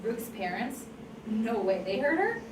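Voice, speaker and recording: A woman's voice: fairly high pitch, sing-song, unhurried, far from the mic. Prickly teenage suspect acted by a woman, echoey. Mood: indignant. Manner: combative, indignant. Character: defensive, prickly seventeen-year-old suspect. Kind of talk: amateur table read of a crime script. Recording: very noisy, echoey room from afar.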